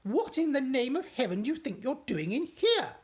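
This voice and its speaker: A woman's voice: medium pitch, sing-song, normal speed, breathy edge, voice pushed up. A woman voicing a fussy, loyal middle-aged maid who talks eagerly and deferentially while reading a storybook aloud. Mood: scolding.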